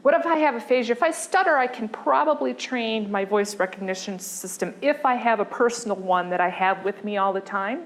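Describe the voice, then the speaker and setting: A woman giving a conversational design lecture, pausing often with fillers and restarting her sentences. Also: on the high side.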